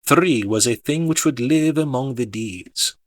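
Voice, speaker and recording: A man narrating an audiobook in a measured, dramatic storytelling manner. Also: medium pitch, no echo. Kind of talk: expressive scripted audiobook narration.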